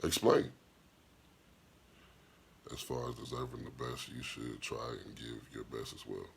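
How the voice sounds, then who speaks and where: A man hosting a podcast and talking as a DJ, with a deep voice, speaking bluntly and profanely in an opinionated monologue, firing rhetorical questions and answering live chat comments. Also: little pitch movement, a little slow, vocal fry, slight background noise. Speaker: podcast host and DJ.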